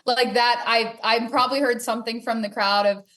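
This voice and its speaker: A woman's voice: a little high, steady tone, a little fast, a bit bright. A woman talking casually as an interview guest, telling stories and acting out bits of dialogue. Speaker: podcast guest, cornhole player.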